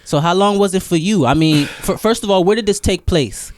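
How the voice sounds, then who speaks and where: Male American podcast host, fairly high pitch, informal slangy questioning, dry close sound. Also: a little fast, no room sound. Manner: colloquial, informal, repeats words as he builds questions. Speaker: podcast interviewer. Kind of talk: casual interview chat, slangy.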